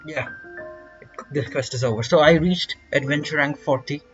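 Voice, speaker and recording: Higher-voiced man, dull lo-fi gameplay commentary. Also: moderate pace, soft background music. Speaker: gaming video host.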